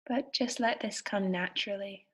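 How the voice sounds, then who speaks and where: Woman gently guiding a meditation. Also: mid-range voice, slightly rough. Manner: soothing, gentle, unhurried instruction with pauses. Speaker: meditation guide. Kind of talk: guided meditation.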